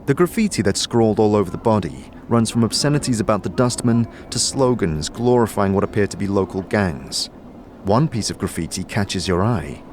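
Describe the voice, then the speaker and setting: Low-voiced man narrating dark fantasy. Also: animated, moderate pace. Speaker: game narrator. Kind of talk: scripted second-person fantasy audio drama narration. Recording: faint background noise and ambience.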